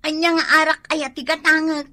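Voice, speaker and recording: A woman's voice: high voice, little pitch movement, a little fast, bright, forceful tone. A woman with an Ilocano accent playing an exasperated, nagging village wife in a comedy radio drama, complaining as she talks. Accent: Ilocano, northern Philippines. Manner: scolding, complaining.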